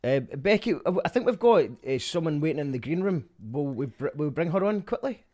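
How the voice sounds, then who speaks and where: A man's voice: fairly high pitch, lively intonation, fairly quick, a little mellow. A man hosting a livestreamed fundraiser, chatting with guests and reading out viewer comments. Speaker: host.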